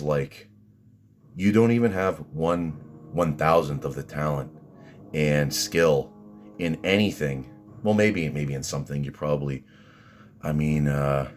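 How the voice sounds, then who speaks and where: A man with a deep voice, ranting at his critics in rambling, combative commentary full of restarts and fillers. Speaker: vlogger answering critics.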